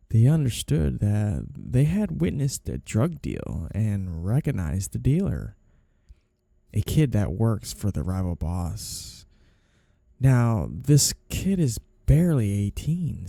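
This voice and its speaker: Man playing a very dark-voiced, sly mafia boss. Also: medium pitch, vocal fry, no echo, studio quality, full character voice. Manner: teasing, insinuating, mock-innocent. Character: smooth flirtatious male mafia boss, sly and two-faced. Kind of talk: ASMR roleplay, noir period-piece monologue to a listener.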